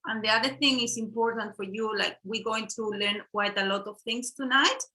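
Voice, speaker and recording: A middle-aged woman co-hosts an online workshop over a video call. Her voice is slightly high and very bright. She speaks in a halting, casual way, with fillers and restarts. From Chile. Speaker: workshop co-host.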